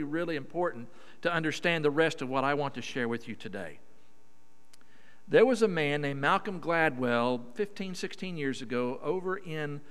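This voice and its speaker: Man, Oklahoma Southern drawl, guest preacher, folksy and emphatic, smooth resonant voice, long church reverb. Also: on the high side, a bit bright. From Oklahoma, USA. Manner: folksy, emphatic, drawn-out vowels. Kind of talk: sermon. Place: church sanctuary.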